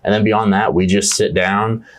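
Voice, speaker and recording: Deep-voiced earnest young man. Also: little pitch movement, normal speed, slight background noise. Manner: earnest and enthusiastic, repeats "yeah, absolutely". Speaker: team leader in agent outreach. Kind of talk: conversational interview answers.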